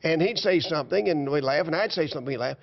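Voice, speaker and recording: A man's voice: a little high, lively intonation, quick pace, creaky. A man in his seventies, a guest performer, telling stories in a folksy, chuckling way. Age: 70s. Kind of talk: casual chat. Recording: slightly dull TV studio audio.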